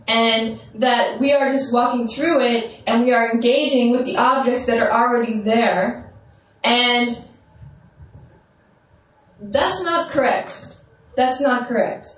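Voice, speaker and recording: A woman's voice: fairly high pitch, far from the mic. A woman teaching meditation with a strong, carrying voice, guiding listeners through visualizations and often checking in with them. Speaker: spiritual teacher. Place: large echoey meditation hall. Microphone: speaking without a microphone. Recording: roomy hall echo, voice off-mic.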